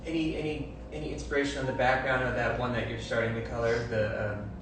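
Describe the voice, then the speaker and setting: A man's voice: mid-range voice, flat delivery, fast talker, over music. A man co-hosting a casual livestream, relaying questions from viewers. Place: echoey room, off from the mic. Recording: very echoey, distant mic, noisy background.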